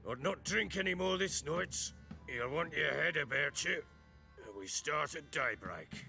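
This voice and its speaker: An actor playing a blustering, hearty baron in a dramatic video game, with a gravelly voice and emphatic rustic speech. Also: male voice, a little high, expressive, unhurried, pushed and bright, faint music behind, full character voice. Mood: firm. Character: blustering, hearty male baron, lord of the hall.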